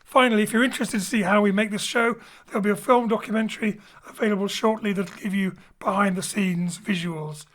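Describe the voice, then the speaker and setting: A man's voice: high-pitched, fairly even intonation, brisk, few pauses, a bit bright. A man signs off a live-streamed audio drama, thanking the cast and crew. He sounds upbeat and grateful, rattling through announcements into a home studio mic. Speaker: producer closing the show.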